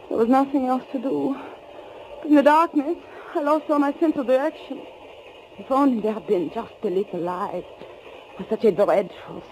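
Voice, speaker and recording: A woman's voice: mid-range voice, darkish tone, close to an everyday voice. An actress playing a frightened, tense woman on the run, narrating her ordeal with dramatic 1940s radio thriller acting. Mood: dread. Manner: dramatic, breathless, suspenseful delivery. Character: frightened young woman fugitive named Anna, tense, recounting her ordeal. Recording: noisy old 1940s radio recording.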